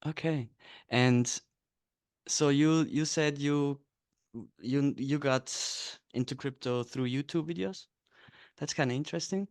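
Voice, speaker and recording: A man's voice: a little high, slow, a little mellow, smooth, dry recording, clean studio sound. A thirty-year-old man from Switzerland hosting a casual, informal podcast interview. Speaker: podcast host.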